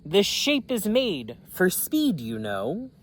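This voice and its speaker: A woman doing a boastful, high-pitched race car girl. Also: slow pace, slight background noise, heavily acted. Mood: eager. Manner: pleading, excited, boastful. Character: eager boastful young female race car.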